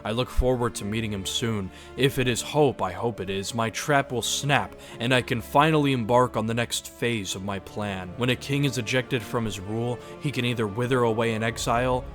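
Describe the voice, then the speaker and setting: A brooding villain king, voiced by a man, plotting in a deliberate, grandiose way. The pitch is medium, and music plays underneath the monologue in this audio drama. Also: a bit bright, natural voice. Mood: scheming, menacing. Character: brooding, scheming exiled king, a theatrical villain.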